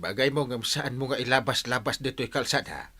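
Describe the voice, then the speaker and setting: An actor with an Ilocano Filipino accent playing an anxious, excitable village captain in a comedy radio drama, stammering and repeating exclamations. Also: medium pitch, a little fast. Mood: alarmed, scolding.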